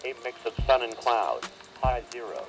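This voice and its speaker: Male weather radio announcer under loud music. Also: medium pitch, very animated, moderate pace. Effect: heard through a radio speaker. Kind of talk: formulaic weather bulletin. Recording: distant radio speaker, loud music over it.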